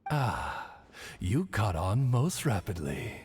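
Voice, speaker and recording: A loyal, sage bird servant from a video game, dignified and eloquent. The voice is on the deep side and unhurried, with lively rises and falls in its formal phrasing. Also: male voice, slightly rough, soft background music, exaggerated voice. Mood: wise, reassuring. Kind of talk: theatrical video game voice acting.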